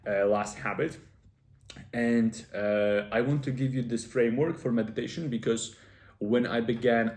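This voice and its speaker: Earnest man with a low voice, echoey room. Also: darkish tone. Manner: earnest, explaining step by step with frequent "uh" pauses. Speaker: self-improvement video host. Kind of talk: casual advice talk to camera.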